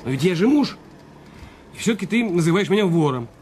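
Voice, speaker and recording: Bitter, resentful husband. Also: male voice, sits high, very animated, brisk, darkish tone, a little hiss or hum. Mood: defensive, irritated. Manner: defensive, sardonic, brooding inner monologues. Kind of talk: radio drama acting.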